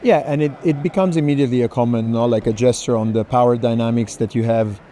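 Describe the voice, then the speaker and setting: A man leading a walking conversation through an art fair, speaking haltingly with many restarts. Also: mid-range voice, dark tone, a little hiss or hum. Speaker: tour moderator.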